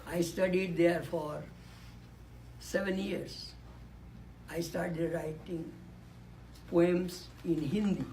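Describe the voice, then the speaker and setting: Slow, deliberate elderly man. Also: a little high, steady tone, darkish tone, a little hiss or hum. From Nepal. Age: 80s. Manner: deliberate, reflective, repeats key words for emphasis. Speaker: panelist. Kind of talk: speech at a panel discussion. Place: conference room. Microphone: handheld or podium microphone.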